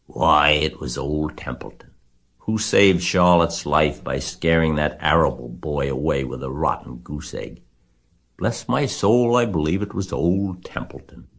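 A sour old storybook rat, played by a male reader, low-pitched and growling. He grumbles in a mocking, disgusted drawl, lazy and sorry for himself. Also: unhurried, a bit bright, heavily acted. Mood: smug.

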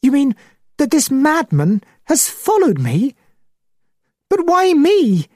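A man voicing an excitable, shocked middle-aged schoolteacher with a high voice in a storybook reading. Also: takes it slowly, no echo, clean studio sound, full character voice. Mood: shaken, fearful. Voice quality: high-pitched. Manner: excited, anxious.

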